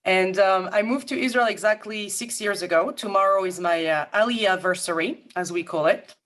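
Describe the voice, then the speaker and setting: A woman from Paris with a French accent, a guest consultant presenting formally and in a measured, articulate way to an audience. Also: medium pitch, fairly bright tone. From France, grew up in Paris. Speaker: guest speaker, management consultant. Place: remote video-call talk.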